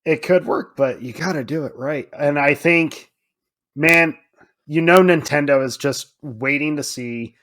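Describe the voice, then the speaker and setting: Male podcast co-host, conversational and casual, speaks with animated emphasis. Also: a little high, a little slow. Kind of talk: casual film discussion.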